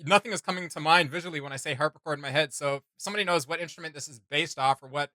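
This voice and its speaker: A man hosting a reaction video, chatting casually in an animated, rambling, slangy way. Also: on the high side, very fast, no echo.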